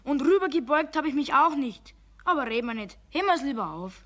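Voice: sits high, very animated, a little fast, noisy background. A performer playing a defensive, hot-tempered young schoolboy with a Bavarian accent in a children's radio play. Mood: indignant.

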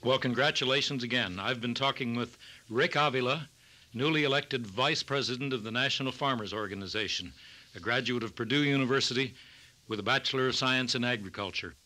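A man hosting a farm news program, reading scripted narration and interviewing a guest in a measured, formal way. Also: mid-range voice, sharp tone. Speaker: radio program host.

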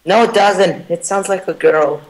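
A man's voice: sits high, a little slow, poor audio. A man chatting over voice chat while gaming, trading sarcastic jabs and panicking when his character is in danger. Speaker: gamer and channel editor. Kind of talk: casual gameplay commentary.

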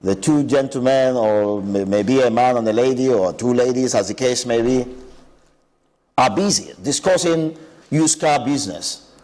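A man's voice: mid-range voice, moderate pace, darkish tone, long reverb tail. A man, an aviation expert explaining earnestly on a TV show, often repeating words. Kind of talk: explanatory TV interview.

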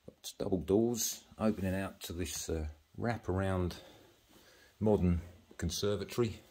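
A man giving a matter-of-fact, halting walk-through commentary as an estate agent, listing features as he moves through a house. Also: low-pitched, darkish tone. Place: walking through rooms of a house.

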